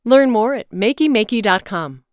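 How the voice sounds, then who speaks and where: A woman's voice: a little high, animated, moderate pace, no echo, clean studio sound. A woman with an American accent reading a scripted podcast sponsor message as an announcer.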